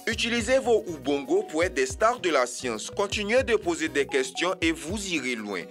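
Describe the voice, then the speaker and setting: A man's voice: a little high, sing-song, normal speed, fairly bright tone. A man voicing an enthusiastic, encouraging science teacher in a rhythmic educational cartoon song. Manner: encouraging, instructive. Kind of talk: sung-spoken educational cartoon number. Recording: music behind the voice.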